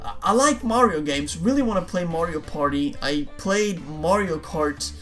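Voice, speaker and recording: A man's voice: a little high, lively intonation, normal speed, soft background music. A young man whose first language is Dutch, answering viewer questions in a casual, rambling way, often repeating words and saying "man". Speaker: YouTuber.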